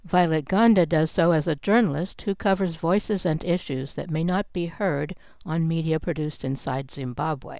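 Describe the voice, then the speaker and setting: A narrator reading a scripted news introduction in an even, formal way. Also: medium pitch, moderate pace, vocal fry, few pauses, dry recording.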